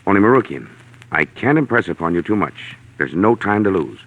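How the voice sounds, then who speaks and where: A man's voice: low voice, sing-song, fairly quick, phone-quality sound, exaggerated voice. An actor playing an anxious, formal man hiring help, speaking carefully and insistently in the manner of 1940s radio drama. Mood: urgent. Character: anxious, formal male client seeking a missing girl. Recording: vintage 1940s radio recording.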